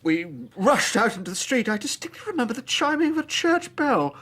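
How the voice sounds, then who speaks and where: Casting: nervy English gentleman clerk, excitable, husky voice with wide swings in pitch, radio drama delivery. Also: a little fast, heavily acted. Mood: tense recounting. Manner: hesitant, polite, excitable. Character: highly strung, agitated young gentleman clerk.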